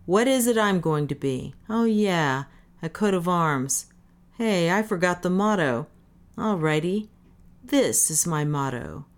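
A gruff, defiant drunkard, played by a woman reading an audiobook. He talks in brash slang with sardonic bravado, in a fairly low register. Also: expressive, a little slow. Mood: drunken bravado. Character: gruff, drunken, defiant man taunting his doom. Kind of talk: dramatic audiobook character reading.

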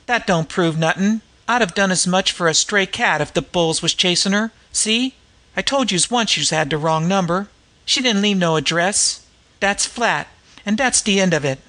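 A man acts out a slangy woman of the slums from a crime storybook. The voice is pushed high and bright. She brushes everything off with dismissive scorn. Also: normal speed. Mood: deprecating. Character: scoffing, streetwise woman of the slums in disguise.

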